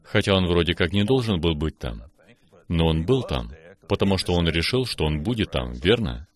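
Very deep-voiced preaching man. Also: moderate pace. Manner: affirming, steady, frequent short exclamations of praise. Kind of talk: preaching conversation.

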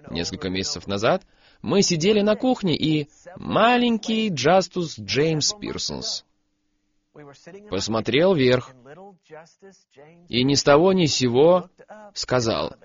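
A man preaches with a lively voice that rises and falls widely as he tells stories and drives his points home. He repeats key phrases for emphasis. The sound is dull and lo-fi. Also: medium pitch. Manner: animated storytelling, emphatic repetition. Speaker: preacher, guest on a program. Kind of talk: sermon.

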